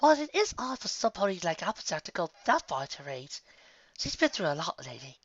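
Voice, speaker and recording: A man's voice: high-pitched, big pitch swings, a little fast, fairly bright tone, dry recording, muffled recording, pitched above the performer's own voice, full character voice. A man voicing a refined, gushing female fashionista pony in a storybook reading, with theatrical, genteel delivery. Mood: curious, sociable. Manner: theatrical, genteel, fussy endearments.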